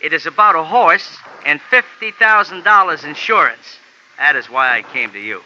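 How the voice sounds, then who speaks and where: A man's voice: on the high side, animated, moderate pace, pushed and bright, a little hiss or hum. An actor playing a small, pompous, touchy male jockey who talks in a formal, stilted way, in 1950s radio drama style. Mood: earnest. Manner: formal, stilted, easily offended. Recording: lo-fi old radio broadcast.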